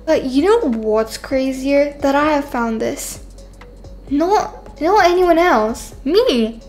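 A woman voicing an excitable, self-assured young girl in a game roleplay, chatting and thinking aloud dramatically. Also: high-pitched, slow, soft background music. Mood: smug. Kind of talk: voiced video game roleplay.

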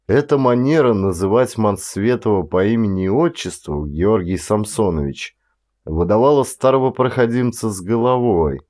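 A man narrating a literary audiobook as an actor, with expressive delivery. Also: on the low side, moderate pace.

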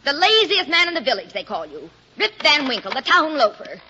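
Shrill, scolding farm wife. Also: female voice, big pitch swings, fairly quick, heavily acted. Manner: nagging, sharp-tongued. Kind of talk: 1940s radio acting. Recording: muffled old 1940s radio recording, faint noise.

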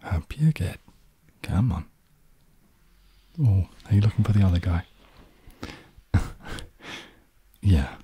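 A man in his thirties playing a smug, seductive inner alter ego in an ASMR short film, in a breathy whisper with a slow, intimate, slyly cocky manner. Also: low-pitched, expressive, dark tone, exaggerated voice. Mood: teasing, commanding. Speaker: ASMR performer.

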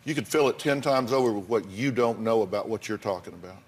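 A man's voice: on the low side, fast. An older man hosting a televised talk show, bluntly lecturing and needling his guests in a studio. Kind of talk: confrontational talk show counseling. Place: TV studio with audience.

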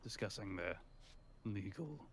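A man's voice: on the low side, animated, unhurried, slight background noise. A man improvising casual dialogue in a game roleplay.

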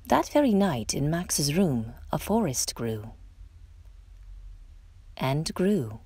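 Animated low-voiced woman reading a storybook. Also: husky, no room sound. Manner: dramatic, theatrical storytelling with drawn-out phrases. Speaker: storytime reader. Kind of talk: expressive children's picture book read-aloud.

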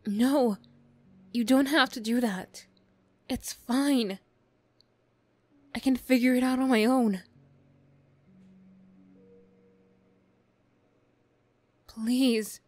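A woman voicing a wounded, bitter young bully girl, defensive and vulnerable, in a halting ASMR roleplay style. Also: fairly high pitch, a little mellow. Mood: defensive, pleading. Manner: halting, swearing, emotional, slow delivery.

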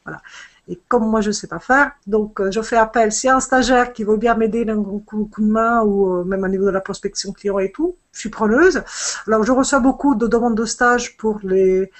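A woman's voice: medium pitch, moderate pace. A middle-aged woman whose first language is Italian, a fashion designer chatting on a video call from home, hesitant with many fillers and restarts. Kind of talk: casual video call chat. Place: home room, indoors. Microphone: earphone headset on video call.

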